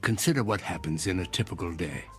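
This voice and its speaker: A courteous, cryptic old inventor, swooping delivery. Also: male voice, sits low, lively intonation, soft background music. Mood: persuasive. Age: older. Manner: measured, formal, musing, persuasive. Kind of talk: audio drama acting.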